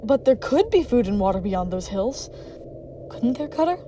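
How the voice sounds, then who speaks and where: A woman playing a gentle, calm and hopeful elf in a dramatic comic dub. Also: fairly high pitch, normal speed, a little hiss or hum. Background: music.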